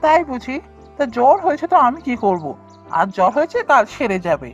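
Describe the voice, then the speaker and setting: High, animated stubborn young village brother played by a woman. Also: brisk, lo-fi sound, faint music behind. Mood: dismissive. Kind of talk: cartoon voice acting.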